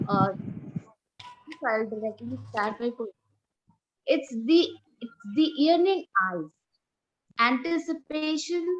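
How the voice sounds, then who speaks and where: A woman with an Indian accent presenting poetry in measured short phrases with pauses, over a lo-fi online call. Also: fairly high pitch. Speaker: poet, event participant. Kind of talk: poetry recitation. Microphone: video call.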